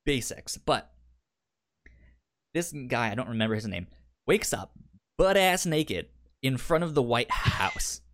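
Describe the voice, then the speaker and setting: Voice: medium pitch, sing-song, a little slow, pauses often. A podcast co-host chatting casually and recapping shows, often stammering and repeating words and syllables. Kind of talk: casual chat, pitching and recapping anime.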